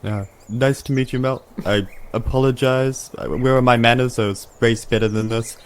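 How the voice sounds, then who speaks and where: A man's voice: mid-range voice, fairly even intonation, moderate pace, clean tone. A man with a New Zealand accent playing a tabletop game, chatting casually in and out of character with a joking, bantering manner. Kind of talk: casual roleplay chat.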